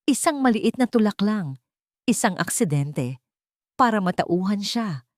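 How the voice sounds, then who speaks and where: A woman plays a scheming man in an audio drama. He sweet-talks softly and persuasively, coaxing with ambition underneath. The recording is dry. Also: medium pitch, big pitch swings, measured pace, no room sound, voice pushed up. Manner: gentle, seductive, persuasive.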